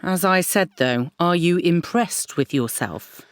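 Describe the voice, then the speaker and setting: A woman playing a stern counsellor in an audio drama, measured and pointedly questioning. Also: fairly low pitch, expressive, no echo. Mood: cool, probing. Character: stern, probing female counsellor challenging a client.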